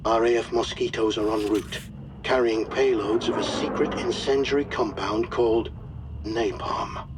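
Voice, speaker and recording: An actor playing a solemn, authoritative wartime officer reading out a formal mission briefing in a video game, measured and deliberate. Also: male voice, on the low side, fairly even intonation, noisy background, close to an everyday voice. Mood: urgent, official.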